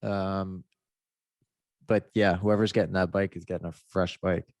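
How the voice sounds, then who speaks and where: A man's voice: sits low, little pitch movement, measured pace, a little mellow, dry recording, studio quality. A man chatting casually in a podcast, rambling and laid-back with lots of fillers, his nose a little stuffed up. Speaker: motocross racer.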